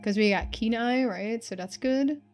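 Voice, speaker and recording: A woman's voice: fairly high pitch, a little slow, a little mellow, faint music behind. A woman streamer giving casual playthrough commentary, thinking aloud and reading game text out.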